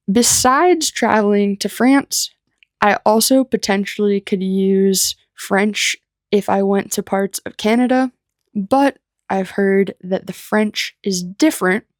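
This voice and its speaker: An American woman teaching English through simple personal stories, speaking in a deliberate, unscripted way with clear phrasing. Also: mid-range voice, darkish tone, no echo, studio quality. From United States. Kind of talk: slow, simple personal storytelling for language learners.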